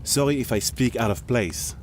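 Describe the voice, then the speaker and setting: A man's voice: mid-range voice, normal speed, a little hiss or hum, close to an everyday voice. A man playing a courteous 1700s Frenchman in an audio drama, speaking politely and formally. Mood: tactful, hesitant.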